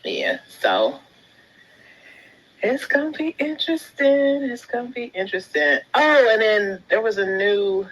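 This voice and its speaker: A woman hosting a live audio chat, relaxed and encouraging, laughing easily as she invites listeners in. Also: mid-range voice, a little slow, poor audio. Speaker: host.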